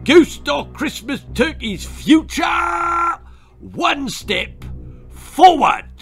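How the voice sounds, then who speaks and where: Storybook drill-sergeant turkey ghost: high, loud, swooping bark, menacing and scornful. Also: male voice, lively intonation, a little slow, faint music behind, heavily acted. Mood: furious, bellowing. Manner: barking, sneering military commands. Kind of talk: storybook character voice.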